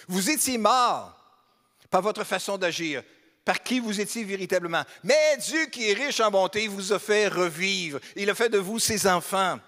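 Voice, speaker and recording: Québécois male pastor, high voice, chatty joking sermon, long church reverb. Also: a bit bright. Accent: Québécois French. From Quebec, Beauce region. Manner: conversational, humorous, asks the congregation rhetorical questions. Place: church hall.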